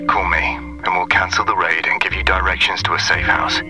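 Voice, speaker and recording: A man acting a menacing intelligence agent in an audio drama, delivering an ultimatum in a deliberate, measured, threatening manner. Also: low-pitched, level tone, natural voice. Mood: coolly persuasive. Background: music.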